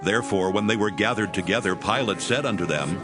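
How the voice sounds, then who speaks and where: Low-voiced male scripture narrator. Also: brisk. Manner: steady, formal reading. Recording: narration over music.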